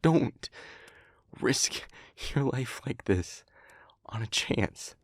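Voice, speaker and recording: An anguished demon, acted by a man, speaks tenderly to a beloved human in an intimate audio drama. The voice is husky and breathy, often sinking toward a whisper. The delivery is slow and halting, with heavy emotional weight on the words. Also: medium pitch, animated, dry recording. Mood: urgent pleading.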